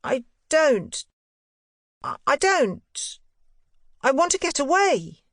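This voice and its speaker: A precocious little boy, acted out by a woman reader, high in pitch and closely recorded. He speaks with languid, teasing deliberation and a serene streak of defiance, in the manner of a classic audiobook ghost story. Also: big pitch swings, slow pace, a bit bright, dry recording, studio quality, exaggerated voice. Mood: languid, evasive.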